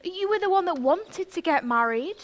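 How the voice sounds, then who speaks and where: An actress playing a haughty, indignant eighteen-year-old princess in a theatrical stage pantomime. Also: female voice, extremely high pitch, lively intonation, normal speed.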